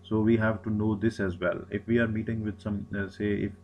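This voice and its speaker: A man's voice: on the low side, little pitch movement, fairly quick, dull audio, soft background music. A man, an Urdu speaker, giving a recorded lecture, often repeating himself and restarting phrases. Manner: repetitive, many restarts and fillers.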